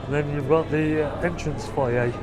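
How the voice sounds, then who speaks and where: A man hosting a family vlog talks in a fairly high voice while he walks a theatre with a handheld camera. His chatty tour patter is full of "so" and "uh". Music plays clearly behind him. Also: steady tone, normal speed. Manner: chatty, loose, with frequent "so" and "uh". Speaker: family vlogger host. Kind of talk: casual walking tour narration. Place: inside a theatre, foyer and private box.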